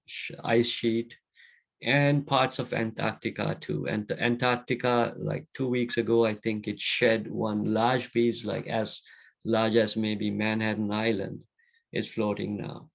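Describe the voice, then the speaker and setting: A man's voice: fairly low pitch, level tone, measured pace, gravelly. A middle-aged man from Sri Lanka answering student questions on a video call, talking conversationally and thinking aloud with restarts and fillers. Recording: dull lo-fi video call audio.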